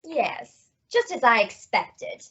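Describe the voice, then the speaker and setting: A woman's voice: on the high side, very animated, takes it slowly, heavy background noise. A woman playing a haughty, scheming society snob with an icy, condescending manner in a radio comedy. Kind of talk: 1950s radio comedy acting. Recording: dull old-time radio recording.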